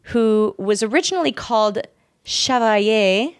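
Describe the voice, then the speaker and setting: Clear-voiced woman hosting a casual podcast. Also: medium pitch, animated, a little slow, no room sound, studio quality. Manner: informal, joking. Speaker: podcast host. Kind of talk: casual chat with storytelling from notes.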